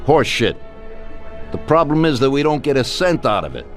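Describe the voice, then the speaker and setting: A man's voice: medium pitch, sing-song, close to an everyday voice. Commanding mafia boss, acted by a man, over music. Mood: stern, commanding. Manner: authoritative, blunt, deliberate. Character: commanding, ruthless male mafia boss giving orders. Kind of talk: crime game cutscene acting.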